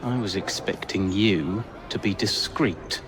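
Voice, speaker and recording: A man playing a gruff, secretive Victorian English police sergeant in a video game, curt and clipped. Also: lowish voice, a little slow, close to an everyday voice. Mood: exasperated. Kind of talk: video game cutscene acting. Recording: noisy outdoor ambience behind.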